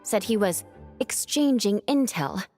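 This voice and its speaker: A woman's voice: mid-range voice, animated, unhurried, darkish tone, soft background music, close to an everyday voice. A woman voicing a composed, wry young knight in a video game, speaking in a knowing way.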